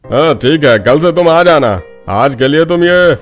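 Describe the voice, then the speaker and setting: A man's voice: on the high side, lively intonation, a little slow, soft background music. A man playing a harried but good-hearted biryani cart owner in a cartoon. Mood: grateful, obliging.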